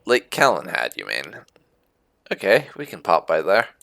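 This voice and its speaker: Casting: male let's-player, lowish creaky voice, musing in character, recorded close and dry. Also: huge pitch swings, normal speed, bright, forceful tone, no room sound. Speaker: gamer roleplaying as his character. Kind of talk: casual let's play commentary, speaking in character.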